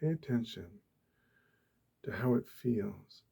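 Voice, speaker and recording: A man speaking softly and deliberately, with long pauses, guiding a meditation in a gentle ASMR style. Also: medium pitch, lively intonation, creaky, slightly rough. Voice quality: soft, hushed. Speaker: ASMR host, meditation guide. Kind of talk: soft-spoken ASMR guided meditation.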